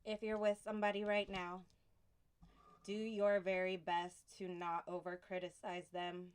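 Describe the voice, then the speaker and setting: Smooth-voiced woman giving a relaxed tarot reading. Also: on the low side. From Houston. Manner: soft, unhurried, reflective delivery with pauses. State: sniffly from allergies. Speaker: tarot reader.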